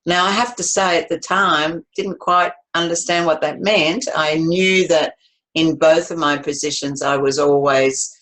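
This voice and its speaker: A woman's voice: low-pitched, steady tone, measured pace, low-quality recording. A woman in her seventies, telling her life story to an interviewer in a rambling, chatty way, with many restarts. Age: 70s. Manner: rambling, warm storytelling with frequent restarts and laughs. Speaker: interview guest.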